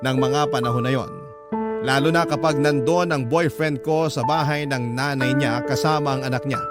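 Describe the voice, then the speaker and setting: A man's voice: medium pitch, lightly acted. A man narrating a jealous lover's confession in a radio drama, in a storytelling style. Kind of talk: dramatic first-person radio narration in letter form. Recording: music bed under the voice. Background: instrumental music.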